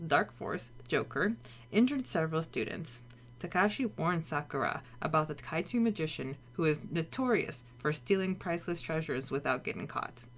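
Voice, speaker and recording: A woman co-hosting a podcast, moving between casual chat and a scripted story recap. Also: deep voice. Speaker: podcast co-host.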